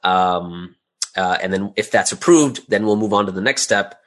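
A man's voice: low voice, normal speed, a bit bright. A middle-aged man talking casually as an interview guest, in a chatty way peppered with "you know" and "right?". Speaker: guest, audio drama creator.

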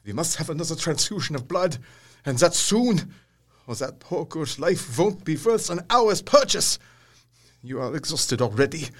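An actor playing an urgent, grave older professor of medicine in a gothic audio drama, speaking in formal, exclamatory phrases. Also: male voice, a little high, a bit bright, husky, full character voice. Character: older foreign male doctor-professor, urgent, grave, fiercely earnest.

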